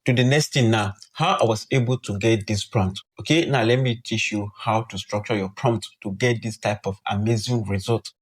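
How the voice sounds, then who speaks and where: A man's voice: fairly low pitch, normal speed, few pauses, husky. A man presenting a casual tutorial, speaking directly to viewers in a reassuring, repetitive way. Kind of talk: casual screen-recorded tutorial.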